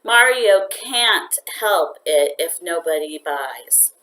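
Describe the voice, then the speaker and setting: Woman voicing a mild, bright-toned peaceful father. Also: lowish voice, expressive, takes it slowly, reverberant, low-quality recording. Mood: soothing. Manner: soothing, mild. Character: peaceful, kindly, quietly resigned father.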